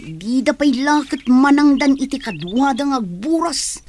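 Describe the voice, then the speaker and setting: A money-minded young female student acted by a woman from a village radio comedy. She speaks very high, in a casual, complaining way. Her accent is Ilocano. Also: animated, a little slow, a little mellow, a little hiss or hum. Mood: grumbling.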